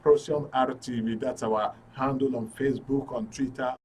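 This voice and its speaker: A man's voice: mid-range voice, steady tone, moderate pace, darkish tone, husky. A man with a Nigerian accent presenting a live current affairs talk show in an informal, jocular way. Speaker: host of a current affairs radio and TV programme. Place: broadcast studio. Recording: noisy studio, distant mic.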